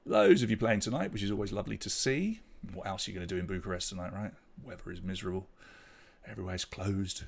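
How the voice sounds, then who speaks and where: A man with an English accent hosting a live online pub quiz, reading out questions and scores and bantering with the players. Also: fairly low pitch. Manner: chatty, jokey banter with players between questions. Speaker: quiz host.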